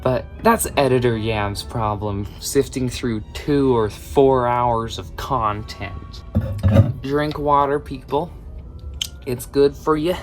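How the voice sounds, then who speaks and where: A man's voice: mid-range voice, slow. A young man in his late teens, a crochet vlogger, talking through a project in a chatty, rambling way that drifts off topic. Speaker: crochet vlogger and small shop owner. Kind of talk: casual crochet tutorial chat. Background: background music.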